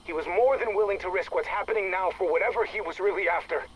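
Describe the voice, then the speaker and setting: An actor playing an anxious, bitter project specialist leaving a recorded testimony in a game, halting and stammering in agitation. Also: male voice, high-pitched, lightly acted. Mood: bitter, accusing. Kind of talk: recorded audio log monologue. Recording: noisy audio log tape.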